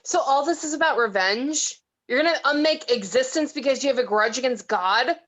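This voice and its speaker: A woman acts out a defiant mortal heroine in a somewhat high voice. She is blunt and challenging, reading her lines in an everyday way from a film script. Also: normal speed, fairly bright tone. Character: defiant, foul-mouthed mortal woman confronting a demon.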